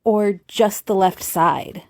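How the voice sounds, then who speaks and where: A woman's voice: medium pitch, slow, a little mellow, no room sound. A woman playing a dry, skeptical adult family member in audio play dialogue.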